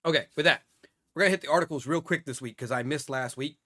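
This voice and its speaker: A hoarse man hosting a livestream, talking through security news in a casual, rambling way with plenty of fillers. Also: a little high, fast talker, pushed and bright. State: hoarse from being sick. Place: home studio, lives in Singapore.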